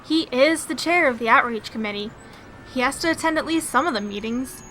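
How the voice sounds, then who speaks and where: A woman playing a capable, confident young masked crime-fighter and narrating a radio drama in a brisk, matter-of-fact way. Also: high-pitched, pushed and bright, a little hiss or hum. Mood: focused.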